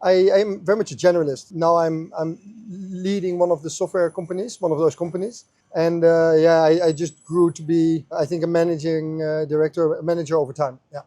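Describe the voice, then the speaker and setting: A man's voice: on the high side, level tone, brisk, dark tone, no room sound. A man with a Dutch accent, a street interviewee who talks hesitantly with many fillers. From Netherlands. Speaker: interviewee, software company manager.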